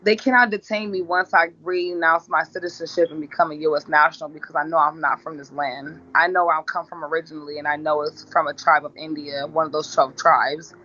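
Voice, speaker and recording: A woman's voice: low-pitched, fairly even intonation, brisk, hardly any pauses, bright, forceful tone. A woman talking at length in an interview clip, insistent and assertive, repeating her points. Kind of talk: rambling monologue. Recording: dull lo-fi clip.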